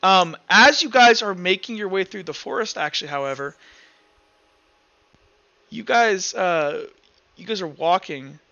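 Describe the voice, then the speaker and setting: A man's voice: high voice, animated, a little slow, long pauses, pushed and bright, highs cut off. A man narrating an improvised tabletop roleplaying game as the game master.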